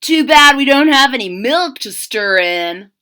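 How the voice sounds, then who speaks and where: Storybook soldier, coaxing and wistful, high bright voice, voiced by a woman. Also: animated, measured pace, smooth, full character voice.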